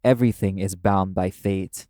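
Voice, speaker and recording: A man's voice: low voice, steady tone, normal speed, mellow, no room sound, studio quality, natural voice. A man playing a brash, cynical male demon who speaks bluntly and scornfully in an audio roleplay. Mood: scornful, cold. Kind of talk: dramatic audio roleplay addressed to the listener.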